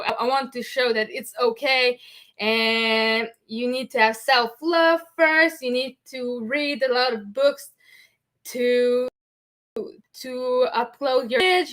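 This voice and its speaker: A woman's voice: fairly high pitch, bright, forceful tone. A French-Canadian woman from Montreal, a native French speaker hosting a show, talking earnestly in English with frequent "okay?" asides. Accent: Québécois French. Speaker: podcast host. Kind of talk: candid personal talk.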